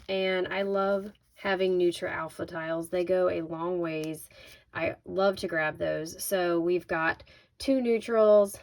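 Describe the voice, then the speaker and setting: A woman with a slightly raspy voice hosting a casual craft unboxing, chatting informally and thinking aloud as she shows each item. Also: lowish voice. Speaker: craft video host. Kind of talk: casual unboxing product walkthrough. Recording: noisy, with crinkling handling sounds.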